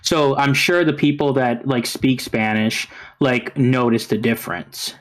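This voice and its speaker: A man's voice: mid-range voice, steady tone, a little slow, poor audio. A man co-hosting a casual podcast chat. He rambles and hedges, with many fillers. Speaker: podcast co-host.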